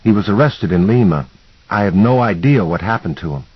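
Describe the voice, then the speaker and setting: Man reading as earnest, uneasy husky-voiced seeker. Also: fairly low pitch, animated, brisk, no room sound, muffled recording. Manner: questioning, tentative. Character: earnest, uneasy male seeker, the story's hero.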